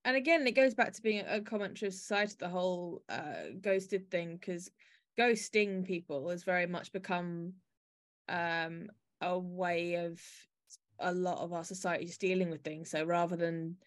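A woman's voice: lowish voice, normal speed. A woman hosting a podcast, talking through a piece in a conversational discussion style. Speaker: podcast host.

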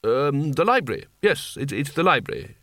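A man's voice: on the high side, big pitch swings, normal speed, a bit bright, no room sound, studio quality. A man voicing a weary, cautious senior wizard in an audiobook, speaking in a deferential, hesitant way. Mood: uneasy. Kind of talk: audiobook character voice.

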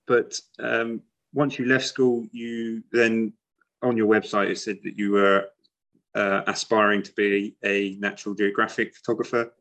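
A man with a lowish voice hosts a podcast interview. He asks friendly, rambling questions and fills the gaps with plenty of ums and uhs. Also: level tone, a little slow, a bit bright, muffled recording. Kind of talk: casual interview.

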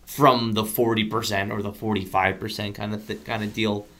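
A man's voice: on the low side, level tone, moderate pace, a bit bright, slight background noise. A man in his twenties from the United States, co-hosting a podcast in casual chat, rambling with many restarts and fillers. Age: 20s. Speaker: podcast co-host.

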